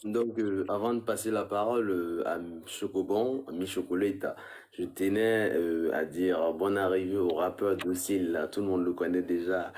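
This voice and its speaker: A man's voice: deep voice, steady tone, normal speed, darkish tone. A man co-hosting a show, introducing guests and handing over the floor with frequent fillers. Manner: filler-heavy hosting, many "euh". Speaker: co-host.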